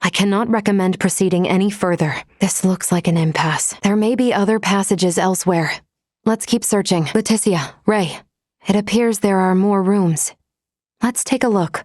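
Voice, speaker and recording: A woman voicing a courteous, analytical female android companion in a video game, speaking in a formal, measured, precisely worded way. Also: mid-range voice, a little mellow, dry recording, studio quality, natural voice.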